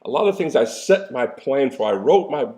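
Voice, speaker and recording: A man's voice: mid-range voice, expressive, normal speed, mellow. A man in his sixties, a coach and pastor, giving a casual motivational talk with folksy, preacherly asides and an occasional cough. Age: 60s. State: coughing now and then.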